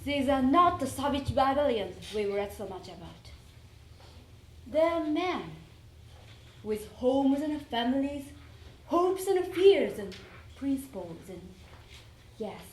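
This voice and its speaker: High-voiced woman storyteller in a roomy space. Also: lively intonation, slow pace, pauses often. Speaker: readers theater performer. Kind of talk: dramatic storytelling recitation of a letter. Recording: roomy echo, faint background noise.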